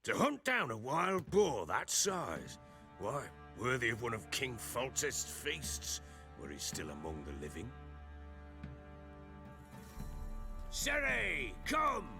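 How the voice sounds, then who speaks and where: An actor playing a blustering, hearty baron in a dramatic video game, with a gravelly voice and emphatic rustic speech. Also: male voice, medium pitch, huge pitch swings, slow pace, bright, forceful tone, faint music behind, full character voice. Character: blustering, hearty male baron, lord of the hall.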